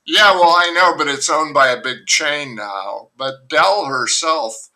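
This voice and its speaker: A man's voice: a little high, expressive, takes it slowly, bright, forceful tone. An older man, an author being interviewed remotely, telling long rambling stories with frequent restarts. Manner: rambling, self-interrupting storyteller. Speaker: author and guest. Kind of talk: casual interview chat.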